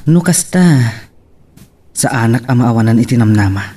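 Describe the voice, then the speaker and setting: A man's voice: mid-range voice, lively intonation, normal speed, mellow, slight background noise, lightly acted. A man with an Ilocano accent playing a musing storyteller in a radio drama.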